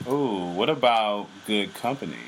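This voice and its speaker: A man's voice: fairly low pitch, animated, measured pace, slight background noise, low-quality recording. A man co-hosting a podcast, earnestly giving advice in casual chat with an emphatic delivery. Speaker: podcast co-host.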